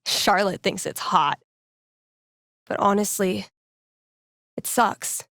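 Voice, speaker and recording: A young woman radio DJ from a video game, wry and heartfelt. She talks in an unhurried, natural, conversational manner at a middle pitch. The sound is dry and close, with no room around it. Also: gravelly, pushed and bright, no room sound, exaggerated voice. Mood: reflective, wry.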